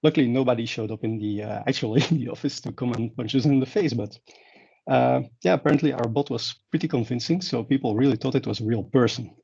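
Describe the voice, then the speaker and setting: A man's voice: mid-range voice, fast talker, creaky, a little mellow, breathy edge. A man from Belgium, giving a conference talk in a rambling way with many fillers and restarts. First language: Dutch. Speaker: conference speaker. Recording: dull lo-fi sound.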